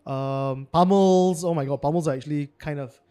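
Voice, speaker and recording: A man's voice: on the high side, moderate pace, dark tone, clear, resonant tone. A man giving chatty, analytical live commentary on a card game tournament. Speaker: guest commentator.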